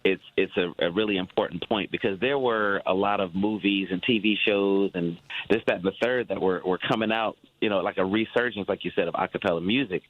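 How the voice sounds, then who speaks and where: A low-pitched man speaking as a guest on a radio show, easygoing and quick to laugh. The sound is dry and dull, like a remote feed. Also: dry recording. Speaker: guest musician. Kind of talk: casual interview chat.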